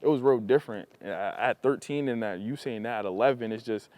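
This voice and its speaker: Young man, middle pitch, from New York suburbs, casual, dry recording. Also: a little fast, a little mellow, no echo. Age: young adult. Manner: easygoing, often adds "you know what I mean". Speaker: student panelist. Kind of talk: casual group discussion.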